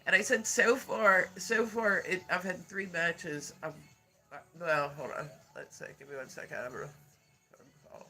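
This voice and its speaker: A man with a high, bright voice chats casually to his livestream audience. He sounds congested and nasal, rambles with lots of filler words, and laughs often. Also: moderate pace, faint music behind, rough recording. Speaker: live streamer.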